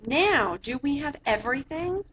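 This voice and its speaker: A practical, no-nonsense storybook father, read by a woman in her high voice. The delivery is firm and orderly, on a dull recording with noise. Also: lively intonation, normal speed. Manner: checklist-like questions, firm replies. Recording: dull lo-fi sound with background noise.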